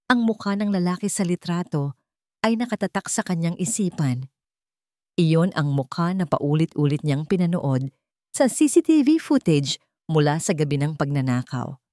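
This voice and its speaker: A woman with a Filipino accent reads a romance story with heavy drama. Her voice sits low and the sound is dry and close to the mic. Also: animated, moderate pace, a little mellow, no room sound. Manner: emotional, dramatic reading. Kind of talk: dramatic scripted narration.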